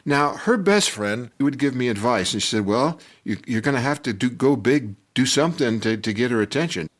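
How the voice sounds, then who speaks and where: An older man telling a personal story from his youth in a conversational, halting and animated way. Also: mid-range voice. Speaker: storyteller telling his own childhood memory. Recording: music bed under the voice. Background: music.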